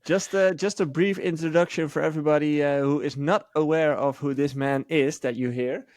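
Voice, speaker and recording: A man's voice: a little high, steady tone, moderate pace, darkish tone, no room sound, studio quality. A young man hosting a podcast over a video call, upbeat and welcoming as he greets his guest and listeners. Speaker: podcast host. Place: home studio, indoors. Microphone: studio mic on boom arm, video call.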